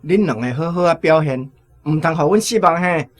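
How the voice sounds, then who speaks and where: Genial, highish-voiced army commander, Taiwanese accent. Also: male voice, lively intonation, a little fast. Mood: approving. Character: male army battalion commander, genial but authoritative. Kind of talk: Taiwanese radio drama acting.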